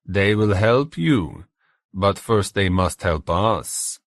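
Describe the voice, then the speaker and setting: A man voicing a cruel, educated German interrogator from a novel, with a German accent and a slow, coldly commanding manner. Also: low-pitched, animated, dry recording, clean studio sound, exaggerated voice. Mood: cold, calculating.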